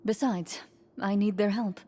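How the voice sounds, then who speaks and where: An actress playing a stoic, principled champion duelist in a video game cutscene, speaking in a composed, measured, firm way. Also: female voice, medium pitch, fairly even intonation, mellow, a little hiss or hum, natural voice. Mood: calm resolve.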